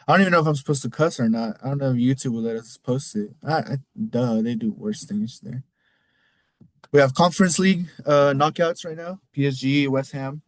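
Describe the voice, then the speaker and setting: A man's voice: medium pitch, a little fast, no room sound. A man with a Mexican accent hosting a casual league recap podcast, rambling loosely and cussing now and then. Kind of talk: casual solo recap talk.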